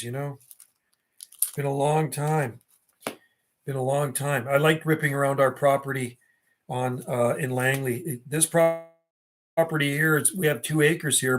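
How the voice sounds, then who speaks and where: Middle aged guy, voice a bit high, rambling livestream chat, slangy and kinda reminiscing. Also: a bit bright, slight background noise. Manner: slangy, reminiscing, often ends phrases with "man". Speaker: livestream host.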